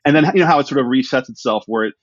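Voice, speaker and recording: A man with a medium-pitched voice talks casually as an interview guest, rambling in a self-deprecating way. The sound is close and dry, a little dull. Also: rapid-fire, no echo. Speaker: podcast guest, writer.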